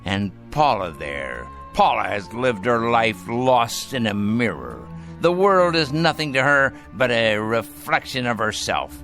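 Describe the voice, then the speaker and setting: Slow, sardonic dying old patriarch. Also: male voice, fairly low pitch, bright, forceful tone, exaggerated voice. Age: older. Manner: theatrical, scornful, deliberate speech. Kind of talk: dramatic radio play acting.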